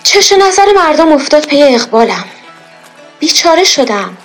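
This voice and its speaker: A woman's voice: sits high, sing-song, soft background music, low-quality recording. A woman playing a proud, fretful middle-aged village mother, folksy and lamenting, in a period radio drama. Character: proud, fretful village-born mother, khan's daughter, doting and scolding.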